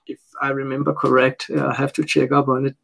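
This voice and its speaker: A man's voice: mid-range voice, level tone, vocal fry, fairly bright tone. A man from Denmark with a Danish accent speaking English as a second language in a casual interview, often searching for his words. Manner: halting, searches for words while translating. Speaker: interview guest. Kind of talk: casual unscripted interview talk.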